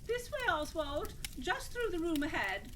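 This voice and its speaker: A woman's voice: very high voice, very animated, measured pace, fairly bright tone, slight background noise, heavily acted. An actress playing a gracious, polite mother welcoming a young man into her home in a period radio play. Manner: polite, hospitable.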